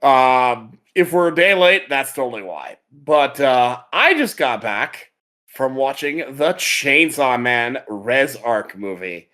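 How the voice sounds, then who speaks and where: A man with a medium-pitched, animated voice that swings up and down. He talks casually as a podcast host, rambling with open enthusiasm. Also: slow. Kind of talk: casual chat about anime.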